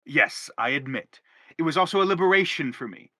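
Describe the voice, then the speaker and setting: A man's voice: a little high, expressive, fairly quick, bright, forceful tone, dry recording. An actor playing an anguished middle-aged father in a stage drama, pompous, wordy and insistent as he justifies himself. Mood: pleading, self-justifying. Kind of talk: theatrical stage drama acting.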